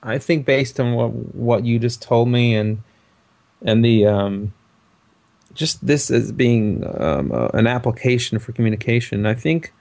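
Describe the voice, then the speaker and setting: A man with a distinctly gravelly, creaky voice at a middling pitch. He chats casually as a podcast co-host, feeling his way haltingly through his thoughts. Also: expressive, a little mellow. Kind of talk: casual chat.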